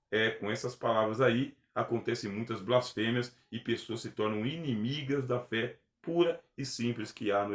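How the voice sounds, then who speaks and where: Man, theology teacher, emphatic lecture, mid-pitched voice, roomy echo. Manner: earnest, emphatic, uses rhetorical questions. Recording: echoey room.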